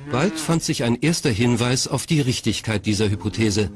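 A man's voice: mid-range voice, expressive, moderate pace, very noisy. A man reading scripted narration as the narrator of a documentary. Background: faint music.

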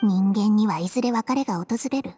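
A woman voicing a blunt, emotional supernatural companion in a Japanese video game, with rough, dramatic phrasing. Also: medium pitch, fairly quick, dark tone, soft background music, natural voice. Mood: resigned.

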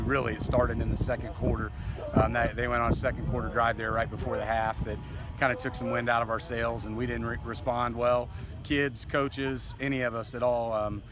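A man in his forties, a high school football coach, speaking in a measured, candid way after a loss on an outdoor field at night. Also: flat delivery. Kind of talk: post-game interview. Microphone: handheld camera close up.

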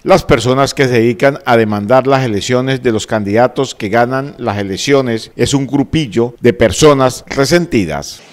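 Regional news reporter, man, middle pitch, steady scripted delivery. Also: moderate pace, few pauses. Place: studio. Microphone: handheld mic.

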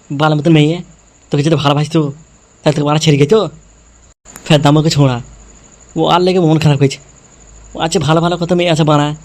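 A man's voice: on the high side, a little mellow. A man voicing a foul-mouthed, vengeful gang schemer in a comedy cartoon, with crude, threatening delivery. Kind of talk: comedy cartoon character dialogue. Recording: music behind the voice.

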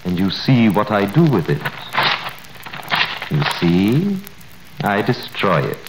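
A cool, measured prosecutor. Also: male voice, lowish voice, sing-song. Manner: measured, authoritative questioning. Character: cold, guarded crown prosecutor, grown man. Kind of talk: 1930s radio drama acting. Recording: roomy old radio recording with faint hiss.

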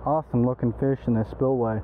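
A man's voice: mid-range voice, steady tone, fast, background noise. Man chatting outdoors, thin phone-like audio. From East Texas, United States. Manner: informal, exclamatory, buddy-style slang. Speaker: angler vlogger. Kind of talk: casual outdoor vlog chat. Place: outdoors by a creek. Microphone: first-person action camera.

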